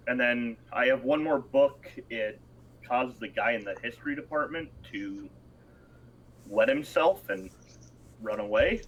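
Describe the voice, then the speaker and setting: A man playing a tabletop horror game who talks slowly and deliberately. He asks polite questions with small hesitations. There is a faint hum of background noise under his voice. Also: medium pitch, low-quality recording. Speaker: player.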